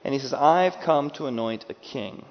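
A man preaches a sermon in a big space, his words trailing off into a long reverb. He acts out the people he quotes and swings between loud bursts and soft, hushed lines. The recording sounds dull and muffled. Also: mid-range voice, moderate pace. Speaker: pastor.

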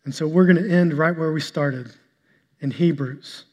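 A male pastor preaching a sermon to his congregation, with an even delivery he describes as monotonous. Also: on the high side. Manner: calls himself monotonous and boring-voiced; preaching, shifts to a soft, slow prayer. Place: church sanctuary. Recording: long hall reverb with soft instrumental music.

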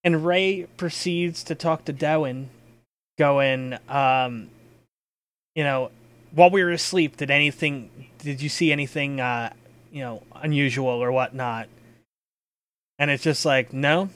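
A man hosting a casual chat about a tabletop game as its storyteller, speaking in a halting, informal way and swearing casually. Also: mid-range voice, fairly bright tone, clean tone.

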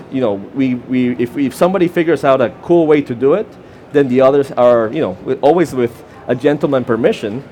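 A man's voice: medium pitch, darkish tone, a little hiss or hum. A man with a Costa Rican Spanish accent gives a short, informal conference talk, with fillers and restarts. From Costa Rica. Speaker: conference presenter. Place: conference session room.

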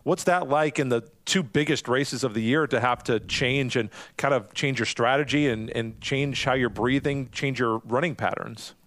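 A man's voice: medium pitch, normal speed, few pauses, fairly bright tone, dry recording. A man hosts an interview in a mid-range voice, asking long, rambling questions. He restarts his sentences and jokes about himself along the way. The sound is dry and close. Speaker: podcast host. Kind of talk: interviewing a guest.

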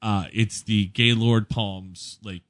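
Bright-voiced, animated male storyteller. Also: fairly low pitch, slow pace. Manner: animated storyteller, acts out exchanges. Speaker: event DJ. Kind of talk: casual anecdotal chat.

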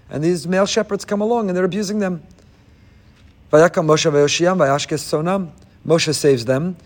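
Man with a slightly high voice teaching a Torah lesson, lively storyteller who acts out the characters. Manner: animated storytelling, acts out quoted figures; mixes Hebrew verses into his talk.

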